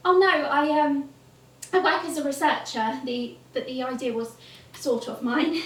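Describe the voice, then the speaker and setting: An actress playing a polite, hesitant young television researcher, apologetic in manner, in a stage play. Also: female voice, high-pitched, unhurried. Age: young adult. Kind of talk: live stage play acting. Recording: roomy stage recording, distant mic.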